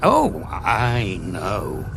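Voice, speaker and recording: An actor playing an angry, sardonic older war veteran held prisoner in a science fiction audio drama. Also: male voice, fairly low pitch, wildly swooping pitch, very slow, creaky, noisy background, full character voice. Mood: sardonic, wary. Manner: sardonic, indignant, theatrical.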